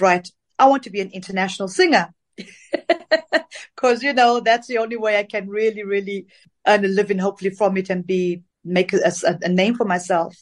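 A middle-aged woman from Zambia answers interview questions at a middle pitch. She tells her story in an easygoing, reflective way, with small pauses and fillers as she remembers. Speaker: interview guest, dance singer. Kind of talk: casual interview conversation.

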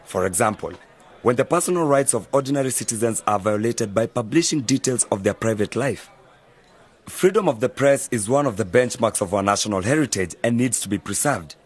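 A man playing a knowledgeable, easygoing mentor in an educational radio drama, explaining things in a measured, articulate way. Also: mid-range voice, animated, slightly rough. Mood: serious, explanatory. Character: knowledgeable, easygoing male media mentor advising young friends.

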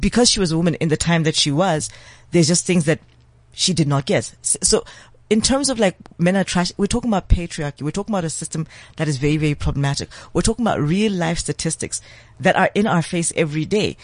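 A man, a guest on a talk show, arguing in an impassioned, emphatic way with quick bursts of speech. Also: fairly high pitch, dry recording. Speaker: guest commentator.

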